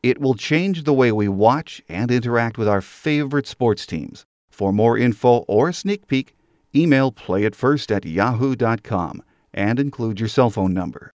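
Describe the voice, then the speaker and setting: A man's voice: medium pitch, animated, moderate pace, darkish tone, no room sound, clean studio sound. An American man hosting a sports podcast, speaking in a conversational, folksy announcing manner. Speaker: podcast host. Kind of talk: sports podcast monologue and interview intro.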